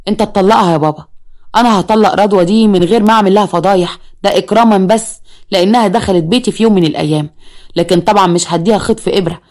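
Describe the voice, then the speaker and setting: A woman's voice: mid-range voice, very fast, darkish tone, lightly acted. A woman with an Egyptian accent voicing a smug, scheming young man who mocks and blackmails his stepmother. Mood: firm. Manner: mocking, smug, sweet-talking.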